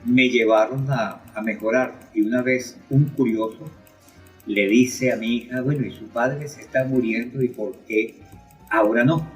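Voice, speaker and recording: An older man talking reflectively as an interviewed poet, halting as he searches for words. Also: mid-range voice, fairly even intonation, poor audio. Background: music.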